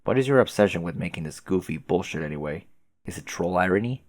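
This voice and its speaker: A man playing an aloof, sarcastic teenage boy who grumbles and swears in a deadpan way for a comic dub. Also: low voice, quick pace, no echo. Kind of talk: fan comic dub dialogue.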